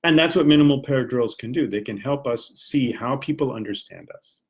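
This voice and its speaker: A man's voice: medium pitch, normal speed. Chatty American man teaching English. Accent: General American. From Washington, D.C., United States. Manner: friendly, chatty explaining with rhetorical questions. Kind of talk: live-stream English lesson.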